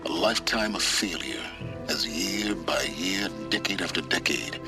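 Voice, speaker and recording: Aloof, deep-voiced scholar. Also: male voice, music in the background. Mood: brooding, wry. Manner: measured, dry, condescending. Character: aloof, condescending older male scholar-wanderer seeking ancient secrets. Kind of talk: scripted dramatic game dialogue.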